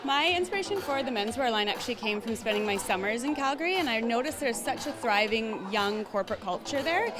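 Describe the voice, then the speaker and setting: A woman's voice: a little high, expressive, brisk, few pauses. A young woman, a fashion design graduate, explaining her collection in a chatty, casual way. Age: young adult. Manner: chatty, casual, lots of likes, giggly. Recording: loud music behind.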